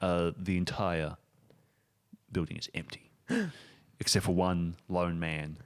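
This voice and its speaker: A man narrating an improvised comedy tabletop game as game master, halting and animated. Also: low voice.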